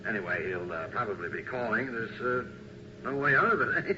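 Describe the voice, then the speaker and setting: An actor playing a nervous, halting shopkeeper leaving an answering machine message in a 1980s radio drama. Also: male voice, mid-range voice, expressive, highs cut off, noisy background, close to an everyday voice. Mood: uneasy. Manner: halting, hesitant, nervous chuckles. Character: nervous male camera shop acquaintance leaving phone message.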